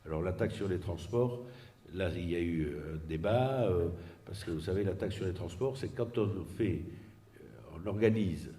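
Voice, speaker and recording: A man giving an unscripted explanatory political presentation, halting and often repeating words as he searches for the next one. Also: sits low, lively intonation, dark tone.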